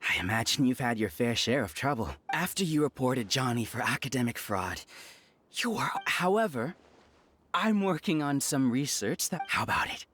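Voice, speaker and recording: Smug cult-leading researcher for a game; grandiose, very animated delivery at medium pitch. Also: male voice, moderate pace, a little hiss or hum. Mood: coaxing. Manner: theatrical, condescending, grandiose. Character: smug scheming male researcher leading a hive-mind cult. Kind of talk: dramatic video game villain acting.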